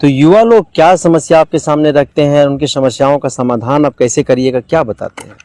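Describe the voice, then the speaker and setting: A man's voice: a little high, brisk, darkish tone, dry recording. A man working as an interviewer, putting long, wordy questions that repeat and build on their phrases.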